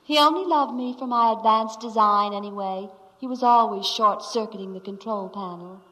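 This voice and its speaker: A woman's voice: mid-range voice, moderate pace, fairly bright tone, highs cut off, natural voice. An actress playing a lovestruck, imperious female ship computer in melodramatic 1950s radio drama style. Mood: haughty, wounded. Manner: melodramatic, yearning. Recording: 1950s radio recording, long reverb, noisy.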